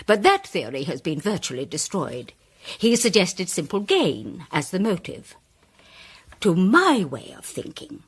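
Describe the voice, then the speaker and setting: A woman's voice: lowish voice, husky, heavily acted. An actress playing a self-important woman playwright who presents her case in a dramatised mystery with grandiloquent, declamatory speeches. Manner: declamatory, grandiloquent, deliberate emphasis. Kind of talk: dramatised audio mystery, formal speech-making.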